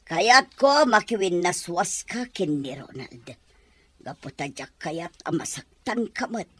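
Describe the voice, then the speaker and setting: A woman's voice: fairly low pitch, breathy edge, exaggerated voice. A woman playing a bitter, stern middle-aged mother nursing an old heartbreak in an Ilocano audio drama. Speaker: voice actor in a radio-style drama. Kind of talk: Ilocano radio drama acting.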